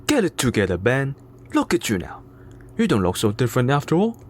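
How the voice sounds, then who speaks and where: A man's voice: mid-range voice, huge pitch swings, a little fast. A man playing a pushy, coaxing father in a radio drama. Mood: coaxing, firm. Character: pushy, coaxing father; also jeering young heckler. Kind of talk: amateur radio drama acting.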